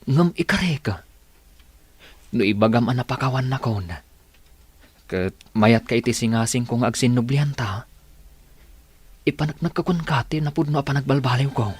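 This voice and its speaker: A man in a radio drama playing a remorseful lover, pleading earnestly and haltingly for a second chance. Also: mid-range voice, husky, no room sound, lightly acted.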